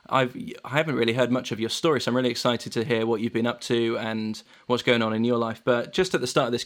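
A man hosting a podcast in a middle-pitched voice. He chats casually and asks long, meandering questions in a friendly way. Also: a bit bright. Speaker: podcast host. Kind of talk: casual conversation.

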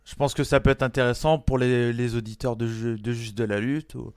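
A man's voice: mid-range voice, level tone, fairly quick, a little mellow, smooth, no room sound. Frenchman chatting casually, dry sound. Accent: French (France). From Brittany, France. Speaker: podcast guest. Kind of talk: casual chat.

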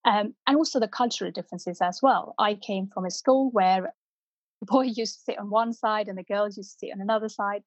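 A woman's voice: mid-range voice, fairly quick, no echo. Middle-aged woman from India, Punjabi native, reflective storyteller, slightly muffled dry recording. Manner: reflective, many fillers and restarts. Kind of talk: personal story, unscripted.

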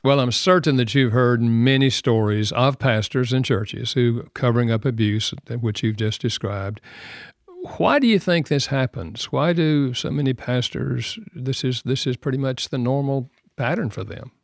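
Man, radio host, conversational interviewer, medium pitch, dry studio-like sound. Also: normal speed, no echo.

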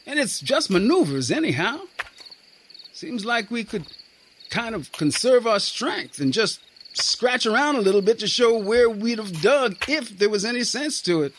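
A man's voice: sits high, very animated, normal speed, fairly bright tone, a little hiss or hum, voice pushed up. A man doing a whiny young soldier. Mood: complaining. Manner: whiny, complaining drawl. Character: young male soldier, grumbling and lazy.

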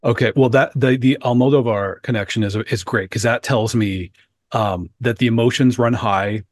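A man's voice: medium pitch, normal speed, no echo, studio quality. A man co-hosting a podcast, interviewing guests in a thoughtful, playful way. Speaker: podcast co-host.

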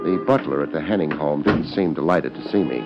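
An actor playing a laid-back, wisecracking private detective in a 1950s radio drama, with a wry, deadpan manner. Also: male voice, very deep voice, fairly quick. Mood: wry narration. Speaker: lead actor and narrator. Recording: muffled old radio recording with music.

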